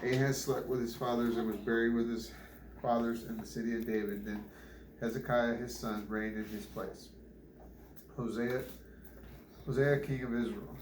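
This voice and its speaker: A man reads scripture aloud in a halting way, stumbling over words and repeating them. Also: mid-range voice, fairly even intonation, a little mellow. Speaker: Bible reader. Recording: echoey room with clear background noise.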